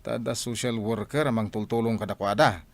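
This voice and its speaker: A man's voice: fairly low pitch, fairly even intonation, brisk, gravelly, dry recording. A man playing a gossipy, teasing male buddy in a radio drama, chummy and speaking with an Ilocano accent.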